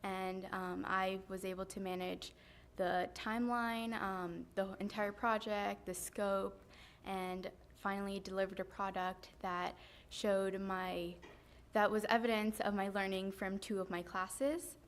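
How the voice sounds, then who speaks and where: A teenage high school girl giving earnest prepared remarks at a school board meeting, steady with frequent ums, into a podium mic. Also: measured pace. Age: teens. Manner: earnest, steady, many um fillers. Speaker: high school student speaker. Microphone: podium microphone.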